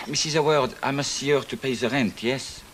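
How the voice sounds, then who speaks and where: An actor playing a French visitor with a French accent, speaking halting, formal English and searching for words. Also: male voice, mid-range voice, fairly bright tone, slight background noise, close to an everyday voice. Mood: polite, halting.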